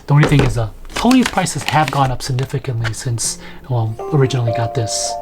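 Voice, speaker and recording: A man's voice: mid-range voice, brisk, loud music behind the voice. A man in his thirties giving casual, conversational unboxing and setup commentary as he thinks aloud in a room at home. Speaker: video host, product reviewer. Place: small home room, indoors.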